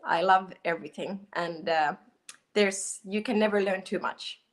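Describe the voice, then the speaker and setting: A woman from Finland introduces herself as a guest, speaking very slowly. She hesitates often, filling the gaps with "uh" and "um", and she comes through a video call. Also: mid-range voice. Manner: hesitant, many fillers. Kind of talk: self-introduction.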